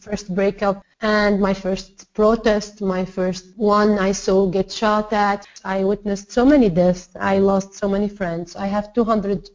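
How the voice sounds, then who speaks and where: A woman's voice: mid-range voice, a little mellow. A woman gives personal testimony in an interview, speaking emphatically in repeated lists. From Syria. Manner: emphatic, builds repetitive lists. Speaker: interviewee, writer. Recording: slightly dull lo-fi sound.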